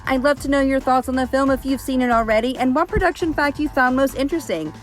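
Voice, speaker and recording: A woman's voice: high-pitched, fast talker, soft background music. A woman hosting and reading scripted narration in an upbeat presenting tone. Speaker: host.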